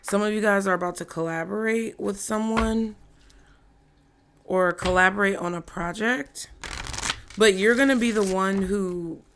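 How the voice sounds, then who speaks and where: A hoarse woman giving a casual, chatty tarot reading to her viewers. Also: mid-range voice, background noise. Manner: slow, chatty, laughs often. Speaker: tarot reader.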